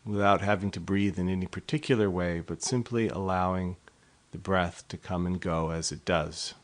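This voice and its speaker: Slow low-voiced man guiding meditation. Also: fairly even intonation. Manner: slow, soft, unhurried. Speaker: meditation guide. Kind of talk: guided meditation.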